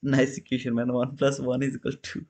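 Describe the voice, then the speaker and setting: A man's voice: mid-range voice, monotone, a little fast, a little mellow. A man in his thirties casually hosting a trading livestream, chatting with viewers and answering their comments with loose, restarting phrasing. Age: 30s. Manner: non-native phrasing, frequent restarts. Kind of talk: casual live-stream commentary.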